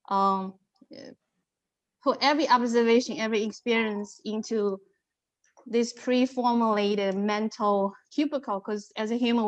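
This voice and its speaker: A woman's voice: fairly high pitch, no echo, highs cut off. A middle-aged woman, a non-native English speaker with an accent, speaking earnestly and reflectively as a panelist giving career advice. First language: not English. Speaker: panelist, airport engineer.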